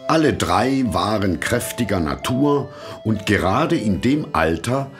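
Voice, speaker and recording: A man narrating a fairy tale from a script in a measured, expressive storytelling manner. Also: lowish voice, over music.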